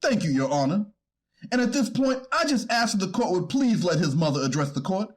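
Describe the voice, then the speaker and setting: Guy playing a defense lawyer, high husky voice, formal and deferential, courtroom reading. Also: big pitch swings, soft background music. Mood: polite request. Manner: deferential, formal, halting argument. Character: male defense attorney pleading for his client in court. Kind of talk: readers theater courtroom transcript reading.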